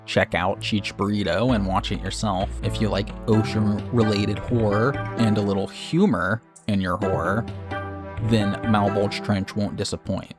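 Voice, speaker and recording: Low-voiced man narrating over music. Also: steady tone, unhurried. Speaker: video host and reviewer of horror series. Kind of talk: conversational scripted narration, video essay. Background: background music.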